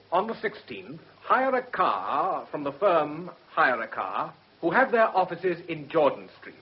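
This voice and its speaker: Formal English barrister, animated pitch. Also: male voice. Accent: English Received Pronunciation. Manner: formal, precise, measured questioning. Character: shrewd, controlled male defence barrister under pressure. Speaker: defence barrister in a courtroom drama. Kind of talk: radio drama acting. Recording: muffled, dull radio drama recording.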